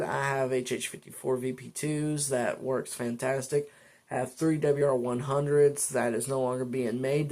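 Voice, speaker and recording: A man's voice: medium pitch, a little mellow. A man talking casually and in a rambling, conversational way as the host of a hobby product video. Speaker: host of a weather radio channel. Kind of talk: casual product talk to camera.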